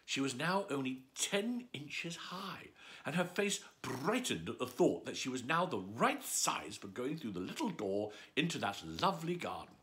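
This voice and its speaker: A man's voice: mid-range voice, sing-song, moderate pace, a bit bright. A man reading a children's story aloud as a bedtime storyteller, with a theatrical, swooping delivery.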